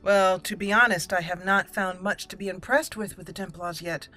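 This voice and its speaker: A woman's voice: mid-range voice, steady tone, moderate pace, pushed and bright. Female let's play host, chatty, roleplay-minded commentary, game music underneath. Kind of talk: gameplay commentary with in-character roleplay lines.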